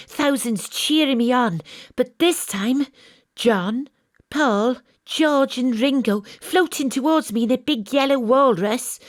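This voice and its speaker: Animated, wry private eye. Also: female voice, high-pitched, dry recording, studio quality, full character voice. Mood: dreamy, panicked. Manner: dramatic, wry. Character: Astrid, wry female Welsh private investigator narrating. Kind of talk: comic detective audio drama narration.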